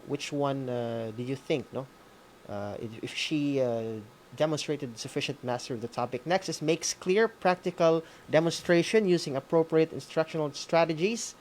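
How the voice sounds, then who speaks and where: A man's voice: fairly high pitch, normal speed, a little mellow, a little hiss or hum. A man with a Filipino accent giving an informal, hesitant instructional walkthrough, often checking in with a tag question. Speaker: instructor leading an online session.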